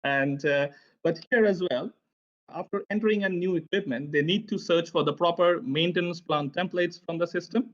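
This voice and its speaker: A friendly man presents an online tech session, chatting loosely and filling pauses with "uh". His voice sits on the higher side, and the audio comes through dull and lo-fi. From India. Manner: friendly, chatty, many filler "uh"s. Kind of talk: conference presentation.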